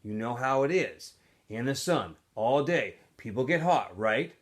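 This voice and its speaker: A man voicing an easygoing teenage boy who smooths things over, in a storybook reading. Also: mid-range voice, animated, a little slow, natural voice. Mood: placating. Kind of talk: storybook character voice.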